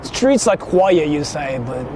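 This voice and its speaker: A man's voice: fairly high pitch, very animated, takes it slowly, warm tone. A man chatting casually and slangily to viewers as he livestreams from his car. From Japan. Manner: colloquial, slangy, explaining things to viewers. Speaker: livestream host. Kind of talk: casual livestream chat while driving. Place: inside a moving car in a city. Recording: clear vehicle and street noise. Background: car and urban traffic noise.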